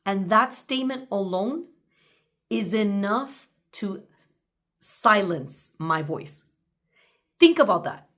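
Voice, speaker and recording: A woman's voice: mid-range voice, lively intonation, a bit bright. Woman giving an emphatic motivational talk. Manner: emphatic, deliberate, speaks directly to the listener. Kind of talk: motivational talk with reading from own journal.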